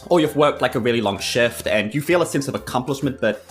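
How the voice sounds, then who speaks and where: A man's voice: mid-range voice, animated, fast, faint music behind. Wry man narrating his tabletop character. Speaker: tabletop podcast player. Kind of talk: improvised comic character narration.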